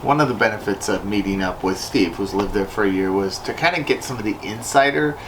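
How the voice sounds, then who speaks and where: A man's voice: on the low side, a little fast, noisy background. A man co-hosting a casual travel chat, rambling and thinking aloud as he goes. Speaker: travel podcast co-host. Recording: loud acoustic guitar music over voice. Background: acoustic guitar music.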